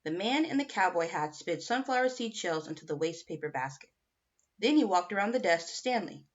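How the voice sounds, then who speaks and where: A woman's voice: fairly low pitch, expressive, a little fast. A woman reading a children's novel aloud as scripted audiobook narration, on a lo-fi home recording. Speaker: audiobook reader.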